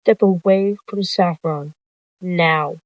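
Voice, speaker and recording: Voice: mid-range voice, fairly even intonation, slow, no echo, lightly acted. A narrator voicing a protective male cat deputy in an animal roleplay story, insistent and confrontational. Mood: stern. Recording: dull lo-fi close dry recording.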